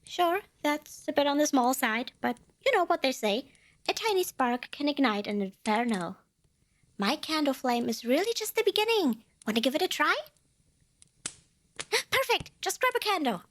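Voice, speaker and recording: Woman acting as small cute demon girl, high voice, swooping intonation, nervous, bubbly, salesy, polite, ASMR roleplay. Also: expressive, normal speed. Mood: defensive, coaxing. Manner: hesitant stammering, bubbly, over-polite. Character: cute little female demon, eager, nervous, cheekily salesy.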